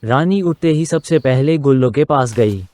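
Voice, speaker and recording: A man's voice: medium pitch, expressive, normal speed, darkish tone, slight background noise. A man narrating a scripted moral story for a children's cartoon.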